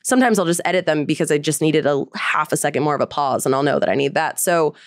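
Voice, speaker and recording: Bubbly woman, lower-pitched, close dry sound. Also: fast, no room sound. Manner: bubbly, enthusiastic. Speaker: interview guest, voice actress. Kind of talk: casual chat about work.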